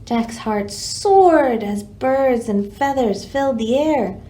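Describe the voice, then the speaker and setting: A woman hosting a library storytime, reading a children's picture book aloud in an expressive, dramatic way. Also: a little high, takes it slowly, slight background noise.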